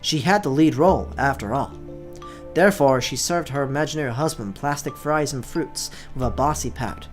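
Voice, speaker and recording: Let's play host, medium pitch voice, storyteller delivery, music going in the background. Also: moderate pace. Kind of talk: expressive story narration, read aloud. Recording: music playing behind the voice.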